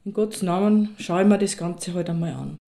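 A woman's voice: on the low side, moderate pace, vocal fry, over loud music. An actress with an Austrian accent playing a middle-aged divorced woman telling an anecdote in a wry, matter-of-fact, conversational way for an audio drama. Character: reluctant divorced woman recounting a matchmaking party.

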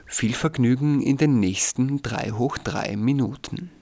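A man's voice: mid-range voice, measured pace, mellow, slight background noise. A man with an Austrian accent, hosting a radio programme and reading scripted narration. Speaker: radio show host.

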